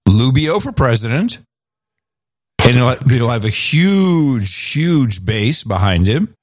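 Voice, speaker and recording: A man's voice: mid-range voice, big pitch swings, takes it slowly, dry recording, clean studio sound. A man hosting a talk show, giving opinionated, dismissive commentary in a casual, bantering style.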